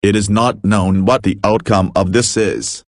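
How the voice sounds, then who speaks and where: A man's voice: on the low side, steady tone, a little slow, no room sound, studio quality. A man playing a frazzled emergency broadcast announcer in an amateur doomsday drama, mixing formal bulletins with slangy exclamations. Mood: grave. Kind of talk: amateur scripted emergency broadcast narration with informal outbursts.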